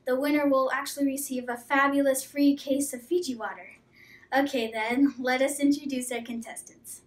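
A woman's voice: high-pitched, steady tone, moderate pace, recorded from a distance. A young girl hosting a homemade contest video in a classroom, with cheerful scripted announcing and playful asides. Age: child. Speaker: host of a kids' contest video. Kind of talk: scripted presenting. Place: classroom with whiteboard. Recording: echoey classroom, camera from off.

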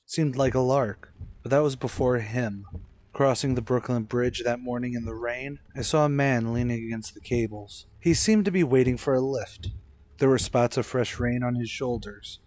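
An actor playing an anxious American man in his thirties in an old-time radio thriller, with measured, dramatic delivery. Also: mid-range voice, darkish tone, natural voice. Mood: uneasy recounting. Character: anxious 36-year-old American man telling his eerie road story. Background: music.

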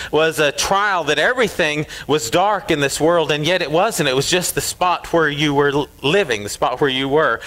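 A middle-aged pastor, preaching to his congregation in a fast, earnest way. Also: on the high side. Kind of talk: sermon introduction. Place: wooden-walled church hall with a band. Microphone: stand mic, wide camera from the pews. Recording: loud live band music. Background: live country band guitars.

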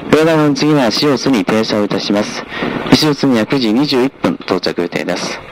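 A man's voice: lively intonation, normal speed. Male train conductor on a noisy onboard PA. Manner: formal, polite, repeats key information. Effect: public address speaker. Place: inside a moving train, over its PA. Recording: noisy train PA speaker, rumbling vehicle.

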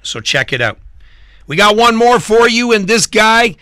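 A man's voice: sits high, sing-song, a little slow, pushed and bright, no room sound, clean studio sound. A man hosting a live radio music show, talking informally and enthusiastically in punchy, emphatic phrases.

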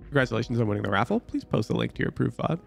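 A man streaming live, chatting casually with his viewers while reviewing gameplay, in an animated way that jumps to loud exclamations. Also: mid-range voice, racing, creaky, faint music behind.

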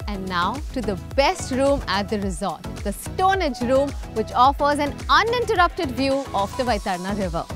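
A woman's voice: fairly high pitch, big pitch swings, moderate pace, hardly any pauses. A woman in her thirties with an Indian accent, chatty and enthusiastic, hosting an upbeat promotional travel vlog. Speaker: travel show host. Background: background music.

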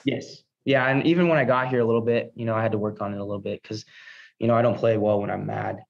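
A man's voice: on the low side, fairly even intonation, quick pace, vocal fry. A young American man in his early twenties, a college ballplayer answering post-game questions on a video call. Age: early 20s. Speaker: college baseball player. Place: indoor press room before a backdrop. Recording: dull, lo-fi video call audio.

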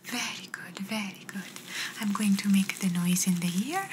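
A woman playing a gentle, reassuring doctor in an ASMR exam roleplay, speaking in a soft breathy whisper with slightly phrasing. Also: mid-range voice, normal speed, close to an everyday voice. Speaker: ASMR performer. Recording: noisy close-up whisper recording.

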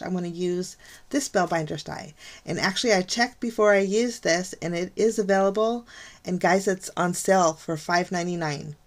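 A middle-aged woman hosting a card-making tutorial, talking chattily through each step with lots of ums and gushing over how pretty things look. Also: fairly low pitch. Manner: chatty, gushing, frequent ums and light laughs. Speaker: card-making channel host. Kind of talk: casual crafting tutorial voice-over. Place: craft desk, overhead camera on hands.

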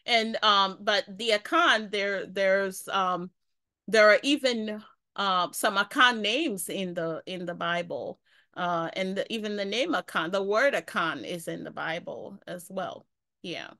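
Bright-voiced woman explaining on a call. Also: mid-range voice, no room sound, studio quality. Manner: explanatory, halting with fillers, smiles. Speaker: guest teacher. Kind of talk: casual video-call discussion. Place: indoors, blurred background. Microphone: webcam on video call.

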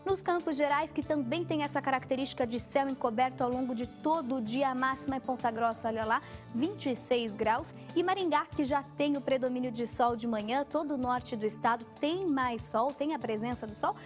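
A woman's voice: high voice, a little fast, faint music behind. Young woman presenting the TV weather. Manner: fluent, lively presenter delivery. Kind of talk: TV weather forecast. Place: TV studio in front of a weather graphic.

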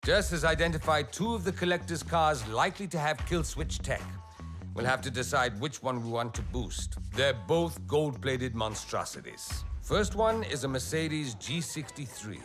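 A man playing a businesslike crew planner in a video game, giving a briefing in a measured, matter-of-fact way. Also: medium pitch, sing-song, over music, close to an everyday voice. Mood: focused. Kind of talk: video game cutscene acting.

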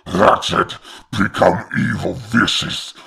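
A man voicing a gloating, wicked demon lord in a comic dub, with a guttural, gravelly voice. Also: on the low side, takes it slowly, pushed and bright, heavily acted. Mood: evil exultation.

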